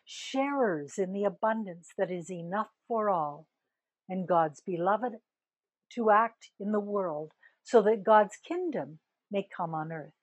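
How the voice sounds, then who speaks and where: Older woman minister, husky, measured sermon delivery, dry close sound. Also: medium pitch, animated, dry recording. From Canada. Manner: slow, deliberate preaching with emphatic rises on key words.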